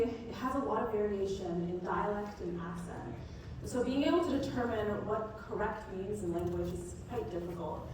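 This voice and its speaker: A woman co-founder giving a rehearsed startup pitch to present a product. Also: a little mellow. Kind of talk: rehearsed demo-day pitch. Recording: echoey hall from far off, noisy.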